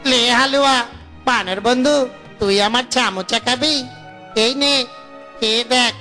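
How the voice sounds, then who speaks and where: Woman doing a bright, jokey fox dad. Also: fairly high pitch, unhurried, soft background music. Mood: hawking cheerfully. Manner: playful, exaggerated. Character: jokey, boastful fox father and husband. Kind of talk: Bengali cartoon voice acting.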